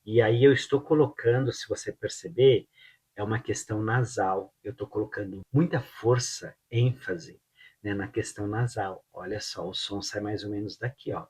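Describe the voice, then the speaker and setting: A man's voice: lowish voice, steady tone, darkish tone, husky. A Brazilian man with a Brazilian Portuguese accent who teaches Portuguese, giving a pronunciation lesson and saying each drill word several times over. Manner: slow, drills words by repeating them.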